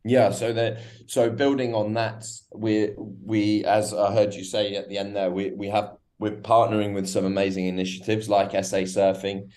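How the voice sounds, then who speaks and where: Man, low mellow voice, halting, restarts often, guest on a call. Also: level tone. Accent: foreign-sounding accent, South African company. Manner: hesitant, many restarts and ums. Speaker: guest, company co-founder. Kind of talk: radio interview over a call.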